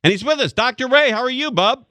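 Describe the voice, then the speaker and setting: A man in his forties hosting a radio talk show, jokey and animated as he chats with a guest. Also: very high-pitched, quick pace, no room sound. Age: 40s. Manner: jokey, animated, laughs along. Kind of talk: casual radio chat. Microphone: studio microphone.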